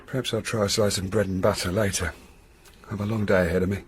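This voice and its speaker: A man's voice: on the low side, steady tone, fast, breathy edge. An actor playing an eager young male police detective who talks in an earnest, chatty way. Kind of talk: radio drama acting.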